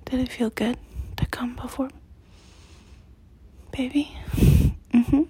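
A woman whispering close and low in an intimate roleplay, her voice very airy and breathy. She talks slowly with a mellow, dark tone sitting a little high in pitch. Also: steady tone.

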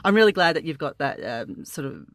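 A woman's voice: low-pitched, big pitch swings, brisk, no room sound, clean studio sound. Giggly rambling Australian woman host. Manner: giggly, rambling with many fillers. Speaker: podcast host. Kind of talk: casual interview chat.

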